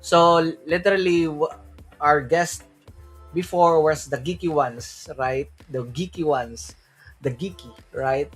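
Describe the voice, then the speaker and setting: A man's voice: a little high, slow, slightly rough, soft background music, rough recording. Filipino man hosting a crypto livestream. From Philippines. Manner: loose, repeats "right?" often, mixes in Tagalog. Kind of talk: solo livestream talk.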